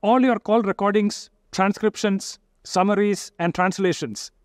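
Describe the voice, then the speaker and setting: A man with an Indian English accent giving a steady, formal product presentation from behind a lectern. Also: sits high, dry recording, clean studio sound. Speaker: corporate presenter. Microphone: lectern microphone.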